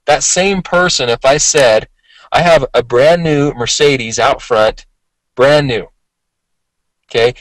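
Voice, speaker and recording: A man's voice: medium pitch, a bit bright, dry recording, poor audio. A man giving a motivational sales training talk in a voice worn from a long day of talking, conversational and emphatic, often repeating himself. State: voice worn from talking all day, occasional cough.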